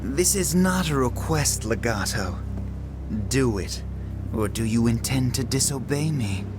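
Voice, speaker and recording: An actor playing an arrogant, sadistic young aristocratic villain in a video game, with a theatrical, mocking drawl. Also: male voice, medium pitch, expressive, measured pace, close to an everyday voice. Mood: imperious, cold. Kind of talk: video game cutscene voice acting. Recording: loud music over the voice.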